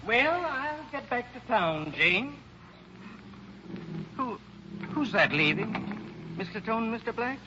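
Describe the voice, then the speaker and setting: A kindly, absent-minded old lawyer in a vintage radio play. He is American, slow and hesitant, with lively swooping pitch. The recording is muffled with clear noise. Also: exaggerated voice. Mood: genial. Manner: dithering, hesitant, folksy. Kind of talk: 1940s radio acting.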